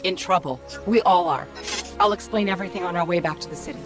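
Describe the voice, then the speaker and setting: A woman voicing a small, sharp-witted AI companion in a video game, wry, informal and loyal. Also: on the low side, quick pace, over music, close to an everyday voice. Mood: brisk, confident. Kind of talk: scripted game cutscene acting.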